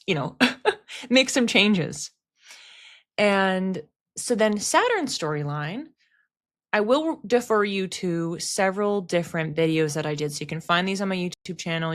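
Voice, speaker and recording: Woman with a slightly low voice, solo explainer talk, chatty and emphatic. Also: fairly bright tone. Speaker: astrology content creator and host.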